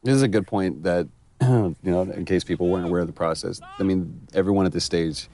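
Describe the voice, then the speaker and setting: A man with a deep voice looks back on his acting work. He talks casually, in the loose style of a behind-the-scenes commentary. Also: fairly quick, mellow, dry recording.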